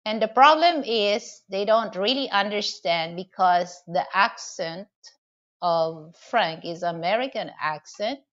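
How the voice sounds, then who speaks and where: A woman's voice: mid-range voice, lively intonation, slow pace, fairly bright tone, lo-fi sound. A woman from the Philippines with a Filipino accent, chatting casually to her viewers and rambling with many "you know" and "okay?" fillers. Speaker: vlogger. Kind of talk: casual advice and storytelling to camera.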